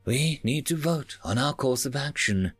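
A man's voice: mid-range voice, animated, moderate pace, husky, no echo, soft background music, pitched above the performer's own voice. A man voicing a dutiful, wary alien warship captain with a formal, commanding manner in a science fiction story. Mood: stern questioning.

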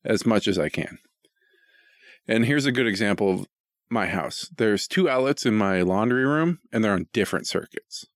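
A man's voice: fairly low pitch, expressive, normal speed, no echo, studio quality. A middle-aged American man chatting casually as a podcast co-host, rambling and self-deprecating, with some easy swearing. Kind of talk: casual chat.

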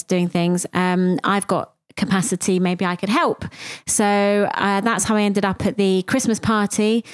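A woman, hosting a conversational podcast and telling her story in a reflective way with many pauses. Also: medium pitch, dry recording. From England. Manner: reflective, with frequent ums and pauses. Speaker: podcast host and cafe founder.

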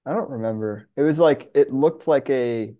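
A man's voice: medium pitch, moderate pace, lo-fi sound. A man chatting casually as a guest on a podcast. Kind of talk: casual chat.

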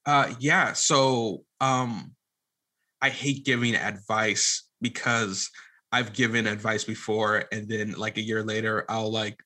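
A man's voice: on the low side, fairly even intonation, slow pace, bright, forceful tone. A man speaking as an interview guest in a casual, colloquial, earnest and self-deprecating way. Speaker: podcast guest, audio drama producer and director.

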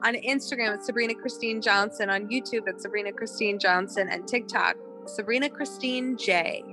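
A woman's voice: medium pitch, normal speed, over music. A woman performer giving a casual podcast sign-off with her social media plugs.

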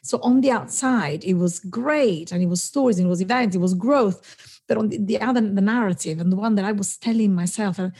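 Warm, breathy woman, native Italian speaker. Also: medium pitch, fairly quick. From southern Italy. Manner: effusive, talks in long winding thoughts. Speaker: guest, founder of a storytelling network. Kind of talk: casual conversational interview.

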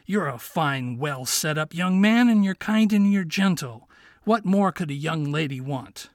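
A crafty male fox schemes and coaxes, as a man puts him on for a storybook reading. His voice sits a little high and swoops with lively movement. The sound is close and dry. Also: unhurried, dry recording. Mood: persuasive. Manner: coaxing, confiding. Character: clever scheming male fox, smooth talker.

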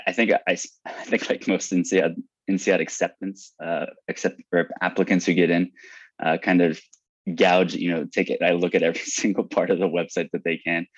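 An American man in his thirties, a student guest answering interview questions casually, with halting restarts and fillers. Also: low-pitched, dry recording. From United States. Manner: halting, many restarts and fillers, laughs lightly. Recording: dull lo-fi dry sound.

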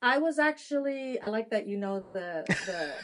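A woman's voice: fairly high pitch, animated, normal speed, over a noisy crowd. A woman in her thirties, born in Haiti, talking candidly as an interview guest, with frequent restarts. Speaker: guest, adoption consultant. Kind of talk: casual interview conversation.

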